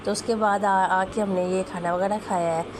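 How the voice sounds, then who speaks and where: A young woman with a sore, hoarse throat, chatting fondly as a family vlogger about her little daughter, often clearing her throat. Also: medium pitch, steady tone, moderate pace. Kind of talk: casual voice-over narration. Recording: voice with clear background noise.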